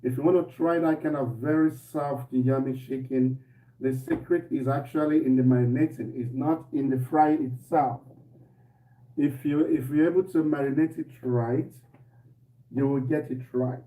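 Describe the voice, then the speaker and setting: A man hosting a live cooking stream from his kitchen, talking casually to viewers with a slightly hoarse voice and repeating himself as he goes. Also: medium pitch, normal speed, recorded from a distance. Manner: relaxed, repeats himself, greets viewers by name. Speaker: cooking show host. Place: home kitchen. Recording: echoey room, voice off-mic, faint noise.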